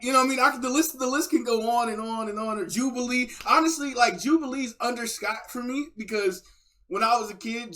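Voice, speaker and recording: A man streaming casual reaction chat, talking in a rambling, slangy, excitable fan style. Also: high-pitched. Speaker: streamer reacting to game voice lines.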